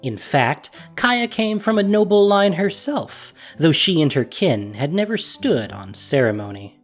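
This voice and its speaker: A narrator reading a fantasy audio drama in an expressive storytelling manner. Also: mid-range voice, unhurried, faint music behind.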